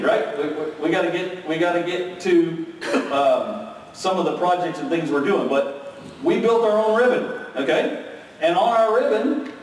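A man's voice: sits high, a little mellow. A male conference presenter giving a technical keynote, talking energetically and checking in with "okay?" and "right?" as he goes. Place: large hotel conference room with audience. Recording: very echoey ballroom from far off.

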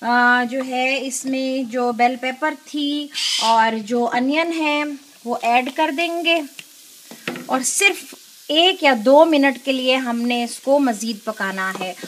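A woman hosting a cooking show, giving unhurried step-by-step instructions in a home kitchen with frequent filler pauses. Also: sits high, a bit bright, slight background noise.

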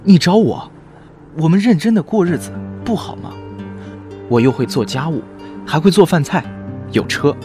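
A man's voice: on the high side, big pitch swings, normal speed, pauses often. A man playing a devoted, stubborn young ex-lover in a modern audio drama, earnest and pleading. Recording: music under the voice.

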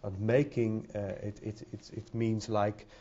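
A man's voice: fairly low pitch, little pitch movement, measured pace, mellow. A middle-aged man with a Dutch accent giving a conference talk at a podium, hesitant, with many fillers and repeated words. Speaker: conference speaker. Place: conference hall with audience. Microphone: podium microphone. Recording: dull lo-fi sound.

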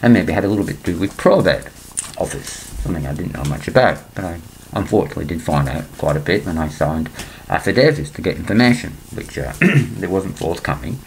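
An older man from England, speaking into a laptop webcam in a small room, rambling and clearing his throat between points. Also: low voice, animated, fairly quick, slight background noise, poor audio. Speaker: vlogger. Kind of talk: rambling conspiracy monologue to webcam.

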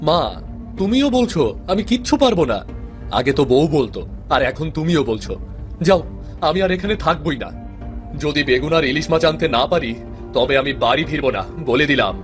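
A man's voice: on the high side, lively intonation, a little fast, soft background music, close to an everyday voice. A man playing a simple-minded village husband in a cartoon folktale, childlike, earnest and whiny. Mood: hurt, sulky. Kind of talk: fairy-tale cartoon acting.

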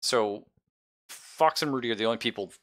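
Bright-voiced man running a tabletop game. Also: mid-range voice, normal speed. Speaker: game master. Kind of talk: casual narration of a tabletop game.

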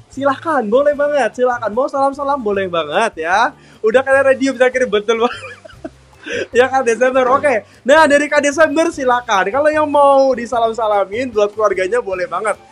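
A man with a strikingly high voice hosts a live-selling stream from a bakery kitchen. He chats on and on, upbeat, calling out to viewers and reading their comments. Soft music plays faintly in the background. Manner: chatty and upbeat, constantly addressing viewers warmly. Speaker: live-stream sales host.

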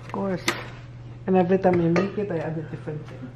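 A woman's voice: lowish voice, steady tone, quick pace, lo-fi sound, background noise. A middle-aged woman, a mother, chatting casually while she cooks in a home kitchen. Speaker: vlogger's mother. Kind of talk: casual chat while cooking.

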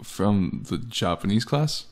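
A man's voice: lowish voice, lively intonation, slow pace. A man giving a comic dramatic reading of text messages, with sardonic, exasperated delivery. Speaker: host and voice actor. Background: background music.

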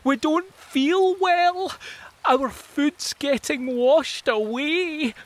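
A man voicing a small worm in a soil story, fretful and complaining in a raised, high voice. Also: drawn-out words, heavily acted.

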